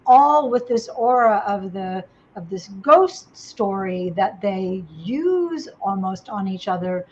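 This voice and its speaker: A woman's voice: medium pitch, animated, slow pace, darkish tone, dull audio, slight background noise. Woman host asking long winding questions. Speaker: host interviewer. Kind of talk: casual interview.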